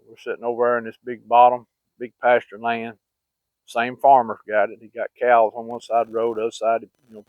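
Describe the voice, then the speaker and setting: A middle-aged man rambles through a hunting story in a folksy way, full of fillers. His voice sits at an ordinary pitch. The sound is narrow and phone-like, with no room echo at all. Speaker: channel host telling hunting stories. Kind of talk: casual storytelling.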